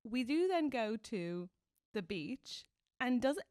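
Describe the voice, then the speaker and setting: A woman's voice: on the high side, slow pace, smooth, dry recording. An Irish woman co-hosting a podcast, chatting casually and jokily in a studio, often laughing. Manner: animated, jokey, laughs often. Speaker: podcast co-host. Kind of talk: casual chat.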